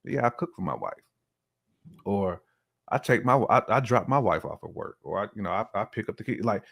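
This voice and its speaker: A man's voice: on the low side, lively intonation, fairly quick, gravelly, a bit bright, slightly rough. A man giving his opinions in casual podcast conversation, restating his points with emphasis. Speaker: podcast speaker.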